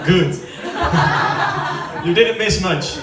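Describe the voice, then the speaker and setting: A man's voice: high voice, extremely slow. A man from the Netherlands with a Dutch accent, doing chatty, rambling stand-up at a family party and laughing often. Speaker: stand-up comedian. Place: former football club canteen. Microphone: corded handheld mic. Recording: echoey hall from a distance with crowd.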